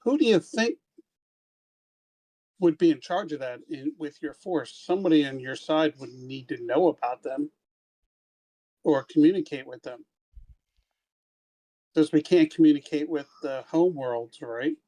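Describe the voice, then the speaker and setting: A man playing a tabletop game, speaking in a slow, hesitant way. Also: on the high side, mellow, rough recording. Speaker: player.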